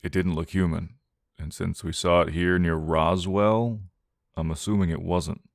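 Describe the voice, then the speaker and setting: A man's voice: low voice, steady tone, unhurried, vocal fry, no room sound. A man voicing a stubborn young man with dry, clipped delivery and bitter humour.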